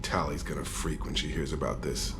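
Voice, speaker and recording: Blunt, very low-pitched soldier. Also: male voice, little pitch movement, fairly quick, music in the background. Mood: dry, sceptical. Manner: terse, dry, sceptical. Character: blunt, wary adult male soldier crewman. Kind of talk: video game cutscene dialogue.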